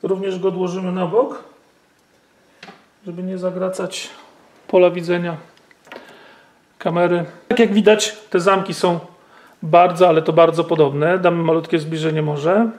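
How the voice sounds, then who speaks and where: A man's voice: sits high, little pitch movement, a little slow, pauses often, a little mellow, a little hiss or hum. A man, demonstrating and explaining a rifle step by step, with many fillers and tag questions. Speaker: gun channel host. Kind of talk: hands-on firearm demonstration.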